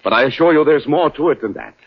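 An actor playing an agitated, formal doctor, halting and stilted, in a 1940s radio drama. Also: male voice, a little high, lively intonation, breathy edge. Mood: distressed. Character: anguished, formal middle-aged European male doctor. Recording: muffled old 1940s radio recording.

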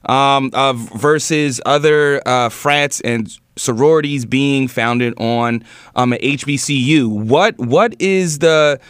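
A man's voice: mid-range voice, slow pace, a bit bright, clear, resonant tone, no room sound, studio quality. A man moderating a panel discussion, hesitant, stumbling through his questions. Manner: hesitant, many fillers and restarts.

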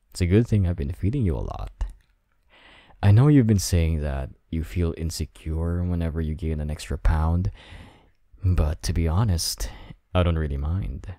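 Deep, gravelly, slow-talking man. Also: no room sound, studio quality. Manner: soft, intimate and teasing, with low whispers. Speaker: voice actor in a roleplay audio.

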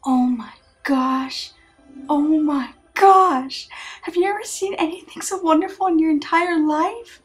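A woman voicing a curious, carefree teenage mermaid girl in a fan dub of a cartoon, teasing and breathy with wonder. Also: sits high, a little slow, faint music behind. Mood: amazed. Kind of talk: fan dub of an animated film.

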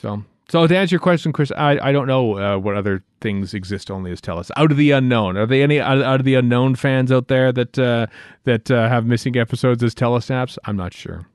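A man hosting a podcast in casual chat, speaking haltingly with many ums and pauses as he runs through news. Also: mid-range voice, clean tone, no room sound, clean studio sound. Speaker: podcast host.

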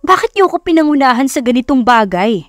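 A woman's voice: sits high, big pitch swings, fast, dry recording, clean studio sound, pitched above the performer's own voice. A woman voicing an irritated, incredulous rich man in his late twenties in an audio romance story, curt and indignant.

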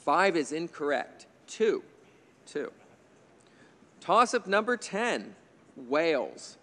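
A man's voice: a little high, big pitch swings, slow pace, pushed and bright, long reverb tail. A man in his fifties moderating a quiz bowl from a studio desk mic, reading questions with chatty, jokey asides. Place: indoor TV studio stage. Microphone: desk microphone on a stand.

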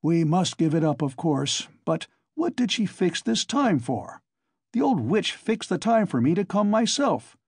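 A man's voice: sits high, very animated, a little slow, breathy edge, no echo, clean studio sound, voice pushed up, heavily acted. A man voicing a big, impatient, irascible visitor in an audiobook, exasperated and given to cursing.

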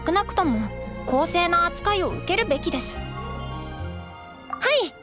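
A kindly young tavern waitress in an anime fantasy game. She has a high voice and speaks in an earnest, measured, courteous way. Faint music plays underneath. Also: expressive. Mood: earnest, gentle. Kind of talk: Japanese anime game voice acting.

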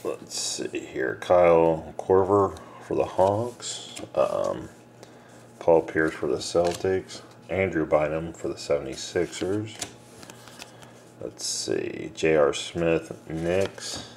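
A man breaking a box of trading cards on camera, reading out names and teams in a low mumble, casual and unhurried. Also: expressive, creaky, darkish tone. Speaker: card breaker. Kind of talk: casual card-break commentary. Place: small room indoors. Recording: noisy room with card shuffling.

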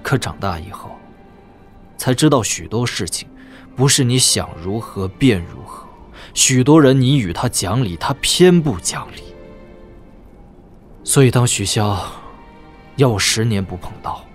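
Proud young nobleman swordsman for wuxia radio play, male actor; sardonic, brooding, mid-pitched, music underneath. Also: expressive, a little slow, pauses often, natural voice. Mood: bitter, brooding. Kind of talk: Chinese wuxia audio drama acting.

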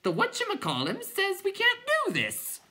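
A manic little butterfly clown from a storybook, squawks in a very high, bright voice. The delivery is sing-song and wildly swooping, full of cheeky mockery and gleeful defiance. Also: huge pitch swings, normal speed, heavily acted. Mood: mocking, shrill. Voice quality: shrill, squawking.

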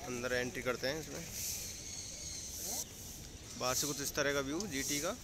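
A man's voice: medium pitch, steady tone, moderate pace. Chatty young man touring a mall. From India. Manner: chatty guide, repeatedly addressing friends. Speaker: vlogger. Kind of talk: casual travel vlog narration. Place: outdoors on a motorbike, then inside a busy mall. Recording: loud road and crowd noise.

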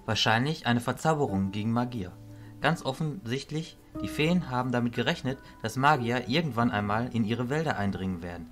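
A man's voice: mid-range voice, animated, fairly quick, faint music behind, lightly acted. A man acting a captive mage in a fantasy audio drama, well-spoken, dry and somewhat curt. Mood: pondering.

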